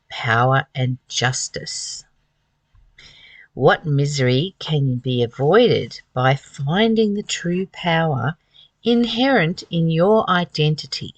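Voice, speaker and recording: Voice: mid-range voice, no room sound. A host reading aloud from a spiritual book and commenting on it, earnest and emphatic, with repeated phrases and rhetorical questions.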